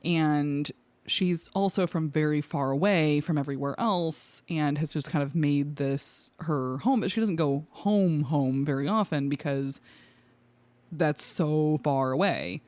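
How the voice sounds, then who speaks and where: A woman shares personal stories in a casual podcast chat. She is an expressive storyteller who voices her own passing thoughts. The sound is dry, with hardly any room in it. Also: low voice, a little slow, dry recording. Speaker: podcast guest.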